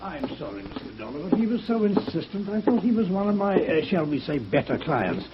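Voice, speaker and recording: An actor playing a prim, evasive violin maker with a formal, hesitant manner, in 1950s radio style. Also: male voice, high-pitched, very animated, brisk. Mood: polite, evasive. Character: fussy, evasive, genteel male violin maker. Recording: noisy, dull old radio recording.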